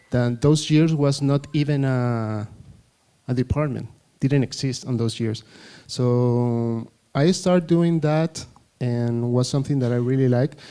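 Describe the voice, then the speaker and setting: A man's voice: medium pitch, mellow, clean tone. A man in his late thirties with a Chilean Spanish accent, speaking English as a second language on a panel, hesitant and searching for words. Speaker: panelist, UI designer. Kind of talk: panel talk to an audience.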